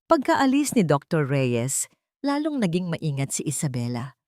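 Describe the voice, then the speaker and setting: A woman's voice: low-pitched, big pitch swings, measured pace, darkish tone, dry recording, studio quality. A woman narrating a scripted dramatic story as an audiobook reader.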